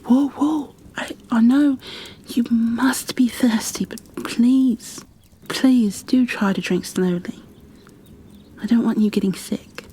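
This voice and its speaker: A woman playing a kind, flustered young bookkeeper in a fantasy ASMR roleplay, speaking softly and breathily, often near a whisper, with gentle hesitations and apologies. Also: on the high side, slow pace, husky. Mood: worried, caring. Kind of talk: ASMR roleplay, addressed to the listener.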